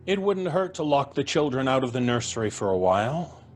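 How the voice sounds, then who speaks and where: A man narrating a story in a live audio drama, with a measured, brisk storytelling manner. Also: medium pitch, sing-song.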